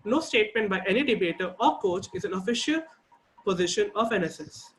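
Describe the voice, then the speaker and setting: A man serving as timekeeper and facilitator, reading a formal welcome and the debate rules in a steady, procedural way over a video call. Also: high voice, fairly quick.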